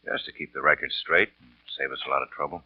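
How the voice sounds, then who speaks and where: An actor playing a blunt, sarcastic district attorney's investigator in a 1940s radio crime drama. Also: male voice, a bass voice, steady tone, fast talker, slightly rough, dry recording, exaggerated voice. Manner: blunt, dry. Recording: tinny, narrow vintage radio recording.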